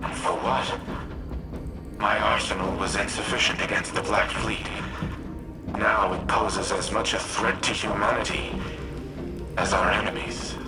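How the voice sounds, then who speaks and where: An actor playing a grave, remorseful military artificial intelligence in a video game, speaking in a solemn, measured, deliberate way. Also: male voice, fairly low pitch, flat delivery, over loud music, lightly acted, rough recording. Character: remorseful military AI war machine, grave and noble. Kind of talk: video game character dialogue.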